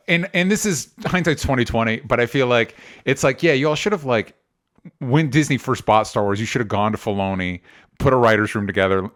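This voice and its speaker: A man's voice: mid-range voice, fairly bright tone. Rambling, opinionated male podcast host. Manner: rambling, opinionated, self-correcting, casual swearing. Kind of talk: casual pop culture discussion.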